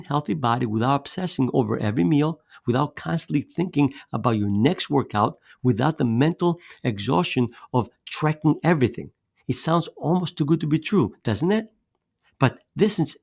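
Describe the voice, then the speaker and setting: A man raised in Greece talks through a scripted fitness presentation in an earnest, persuasive way. His middle-pitched voice has a gravelly edge. Now and then he repeats a word or drops in a filler. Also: darkish tone. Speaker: fitness coach presenting his weight loss method.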